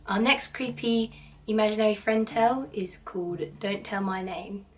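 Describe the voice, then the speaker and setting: Cheerful woman host with a croaky cold voice reading creepy tales, recorded slightly back from the mic. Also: medium pitch, normal speed. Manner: cheerful, chatty, playfully spooky. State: hoarse from a cold. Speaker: horror storytelling channel host. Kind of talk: casual spooky story narration. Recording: slightly distant mic, faint background noise.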